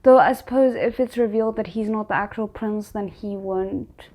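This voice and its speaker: A woman's voice: mid-range voice, steady tone, moderate pace, darkish tone. A woman giving casual reaction commentary as a host, musing aloud in hesitant fragments.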